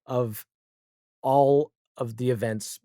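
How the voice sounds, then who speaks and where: A man's voice: mid-range voice, steady tone, slow pace, mellow, no room sound, clean studio sound. A man chatting casually as an interview guest, wry and opinionated. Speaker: podcast guest, audio drama creator. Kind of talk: casual interview chat about television.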